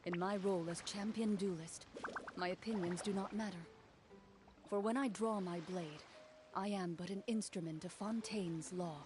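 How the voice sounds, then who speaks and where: An actress playing a composed, stern young champion duelist in a video game, speaking in a measured, formal, self-assured way over music. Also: female voice, lowish voice, lightly acted. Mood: detached, dutiful. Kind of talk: video game character dialogue.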